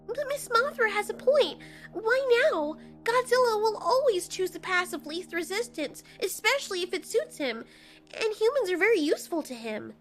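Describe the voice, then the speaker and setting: Woman doing a very high, timid little creature. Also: big pitch swings, normal speed, faint music behind. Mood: earnest. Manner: childlike, polite, questioning.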